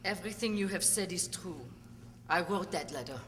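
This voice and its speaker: A woman's voice: fairly low pitch, normal speed, fairly bright tone, close to an everyday voice. An actress playing a haughty, bitter, defiant woman witness theatrically in a courtroom radio play. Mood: bitter confession. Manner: indignant, theatrical. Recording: reverberant hall.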